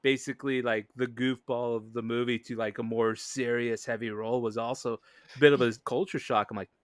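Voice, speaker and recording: A man's voice: mid-range voice, steady tone, a little fast, no room sound, studio quality. A man on a podcast panel, discussing a film in a casual, conversational way.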